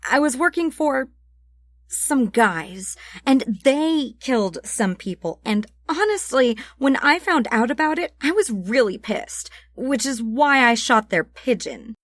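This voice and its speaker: A woman's voice: on the high side, expressive, unhurried, no room sound, voice pushed up. A woman voicing a brash, flippant, habitually lying woman with a blunt, emphatic manner in an audiobook. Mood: defensive, flippant. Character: brash, indignant gun-waving woman, a habitual liar.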